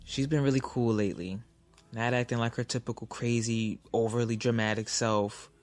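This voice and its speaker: A man's voice: mid-range voice, steady tone, normal speed, close to an everyday voice. A man acting a blunt, candid lover in a naturalistic audio drama about a troubled romance. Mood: calm, explaining. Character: blunt, candid lover frustrated with married girlfriend. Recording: music behind the voice.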